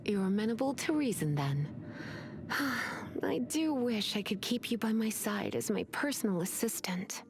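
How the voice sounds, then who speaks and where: A woman's voice: a little high, animated, a little slow. A woman voicing a teasing, sultry, authoritative witch librarian in a video game. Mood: composed, coy. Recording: music behind the voice.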